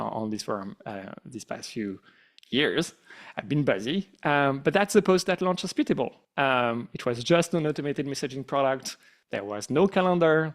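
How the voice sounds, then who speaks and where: Middle-aged man, French accent, founder hosting a company town hall, relaxed and self-deprecating, plenty of filler words, mid-range voice. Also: medium pitch.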